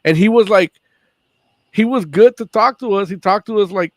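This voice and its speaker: A man co-hosting a casual podcast, talking in a relaxed, halting way with many fillers. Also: high voice, dry recording. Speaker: podcast co-host. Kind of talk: casual chat.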